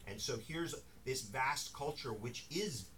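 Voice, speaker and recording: A man's voice: mid-range voice, expressive, slow, fairly bright tone. A man, an author at a bookstore event, speaking thoughtfully in a rambling way with many restarts and asides. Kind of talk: live talk with audience Q&A. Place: bookstore reading room. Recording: distant mic in a noisy room.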